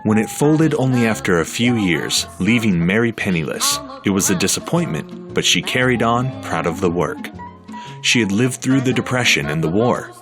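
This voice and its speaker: A man giving measured, scripted documentary narration. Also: on the low side, animated. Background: music underneath.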